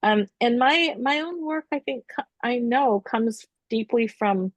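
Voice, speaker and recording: A middle-aged woman from the Middle East, an exhibiting artist speaking thoughtfully and haltingly in a gallery talk. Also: on the high side, dry recording. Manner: thoughtful, halting, searching for words. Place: art gallery.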